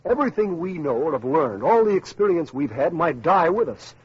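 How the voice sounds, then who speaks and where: An actor playing an earnest, idealistic male community leader in a radio drama, speaking deliberately and anxious for the future. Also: fairly high pitch, very animated, slightly rough, heavily acted. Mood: worried, earnest. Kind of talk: 1950s radio drama acting. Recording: muffled old radio recording, music behind.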